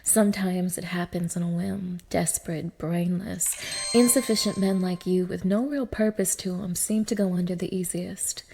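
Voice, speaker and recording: A woman's voice: on the low side, steady tone, moderate pace, mellow, a little hiss or hum. A woman playing a cruel, scornful dominatrix in an intimate ASMR-style audio roleplay, talking down to her listener with open contempt. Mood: cold, mocking. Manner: sneering, scornful, swearing, swinging from breathy murmurs to raised snaps. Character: cruel, contemptuous young dominatrix belittling a submissive man.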